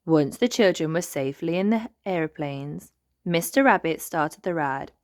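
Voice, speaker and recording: A woman reading a children's storybook aloud in an expressive narrating style. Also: sits low, normal speed. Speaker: storybook reader.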